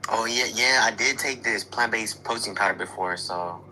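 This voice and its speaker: A man's voice: fairly low pitch, normal speed, bright, forceful tone, slight background noise, rough recording. A man who is a guest on a live video chat, talking in a hesitant, agreeable way with fillers. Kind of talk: casual conversation.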